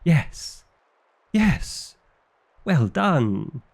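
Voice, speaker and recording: A man's voice: on the high side, big pitch swings, extremely slow, vocal fry, a little hiss or hum, exaggerated voice. A man playing a fussy, exasperated vampire librarian in an ASMR roleplay, with an indignant, officious and dryly comic delivery. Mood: frantic.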